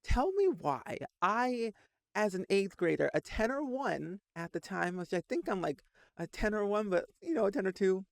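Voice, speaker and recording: A man in his late twenties tells a long personal story, his somewhat high voice catching on a gravelly edge. He rambles and leans on "like" constantly. The recording is dry and close. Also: very animated, normal speed, no room sound. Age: 20s. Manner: rambling, frequent "like" fillers. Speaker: Sims content creator.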